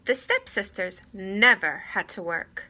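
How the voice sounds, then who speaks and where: A woman narrating a children's story aloud in an expressive, theatrical way. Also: medium pitch, unhurried. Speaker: storybook reader.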